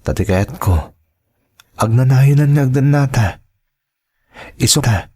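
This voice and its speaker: An actor with an Ilocano accent playing an insistent, lovesick young suitor in a radio drama. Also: male voice, mid-range voice, lively intonation, unhurried, darkish tone, breathy edge. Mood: insistent longing.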